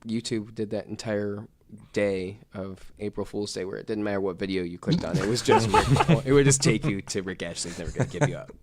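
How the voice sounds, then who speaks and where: Male co-host, lowish pitch, playful and informal podcast banter. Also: a little fast, very noisy. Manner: playful, joking. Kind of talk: casual banter.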